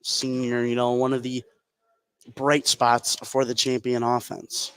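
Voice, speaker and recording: A man's voice: medium pitch, monotone, measured pace. A man giving casual, observational color commentary during a live basketball broadcast. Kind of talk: live sports analysis, conversational. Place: indoor gym at a basketball game. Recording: clear gym noise behind the voice.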